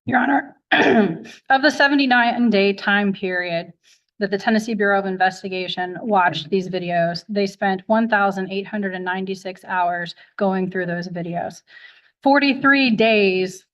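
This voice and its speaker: A woman's voice: medium pitch, a bit bright. A woman speaking as a prosecutor, methodically reading a documented log aloud in formal, factual fashion, recorded with dull courtroom sound.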